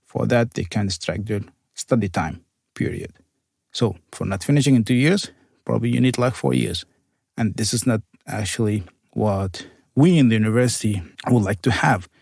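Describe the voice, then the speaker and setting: Gravelly-voiced man, Arabic native speaker. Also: fairly low pitch, moderate pace, a little mellow, no echo, clean studio sound. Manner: careful, searching for words, slightly non-native phrasing. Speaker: university program coordinator. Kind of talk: conversational interview answers.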